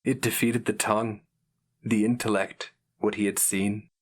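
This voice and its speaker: A man narrating a fantasy story as a dramatic reading, grave, measured and theatrical. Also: lowish voice, little pitch movement. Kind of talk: dramatic scripted fiction narration.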